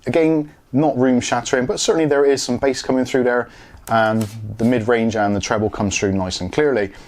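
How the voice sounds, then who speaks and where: A man's voice: medium pitch, steady tone, fairly quick, a little mellow, slight background noise. A man explains a product in a plain, matter-of-fact way, as in a casual video review. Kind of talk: casual tech review narration. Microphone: lapel mic.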